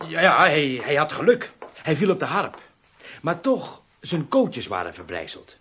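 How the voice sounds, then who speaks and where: A man's voice: on the high side, huge pitch swings, unhurried, breathy edge, exaggerated voice. A man in a radio drama playing a mild orchestra musician who speaks hesitantly and matter-of-factly, with some deference. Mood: hesitant, earnest. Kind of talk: naturalistic radio drama acting. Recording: slightly dull radio recording.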